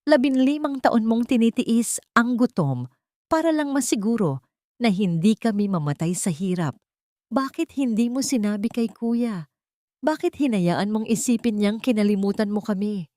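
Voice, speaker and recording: A woman's voice: on the high side, animated, moderate pace, warm tone, no echo, clean studio sound, voice pushed up, lightly acted. A woman voicing a distraught, loyal young daughter in a drama story, tearful and pleading.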